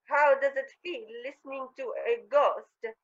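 A woman's voice: high voice, fairly even intonation, measured pace. A woman playing an eerie, taunting caller posing as a dead woman in a radio crime drama, through a telephone filter. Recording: dull, band-limited phone-style sound.

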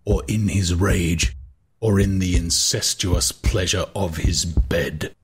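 A man voicing an anguished, vengeful boy who mutters verse in a slow, brooding chant for an audiobook. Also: low voice, fairly even intonation, slight background noise. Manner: slow, brooding, incantatory repetition.

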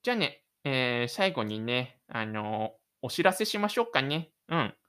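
A man hosting his own audio drama show, narrating and chatting gently. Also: medium pitch, very animated, normal speed, clear, resonant tone. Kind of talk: audio drama narration and casual host chat.

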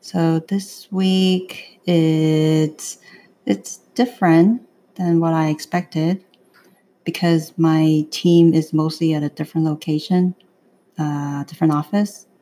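A woman's voice: low-pitched, little pitch movement, slow, mellow, slight background noise. A young woman co-hosting a casual podcast, laid-back and teasing. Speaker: podcast co-host.

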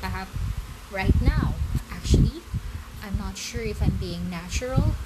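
A woman's voice: mid-range voice, lively intonation, a little slow. A woman with as her chatting casually with her listeners about her story, self-conscious and rambling, with many restarts. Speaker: amateur story writer and reader. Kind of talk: casual late-night chat to listeners. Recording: loud background noise.

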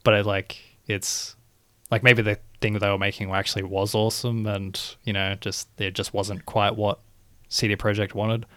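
A man's voice: on the low side, level tone, moderate pace, fairly bright tone, dry recording. A man co-hosting a gaming podcast, chatting casually in a rambling, hedging way full of "like" and "you know". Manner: rambling, hedging, filler-heavy. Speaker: podcast co-host. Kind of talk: casual chat.

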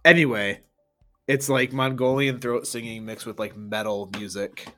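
A young man co-hosting a podcast in relaxed chat, with a deep voice, easygoing and quick to laugh. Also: faint music behind. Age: young adult. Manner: easygoing, laughs a lot, rambling with fillers. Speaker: podcast co-host. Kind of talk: casual chat.

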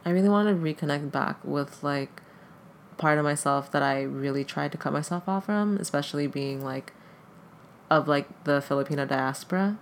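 Podcast guest, Tagalog native speaker, mid pitch, gravelly, hesitant, lots of filler words. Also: normal speed, slight background noise. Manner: hesitant, heavy use of "like" fillers. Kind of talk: casual conversation.

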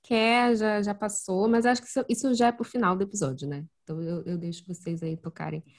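A woman's voice: mid-range voice, steady tone, a little fast. A young woman guesting on a podcast, joking around and laughing easily in casual chat.

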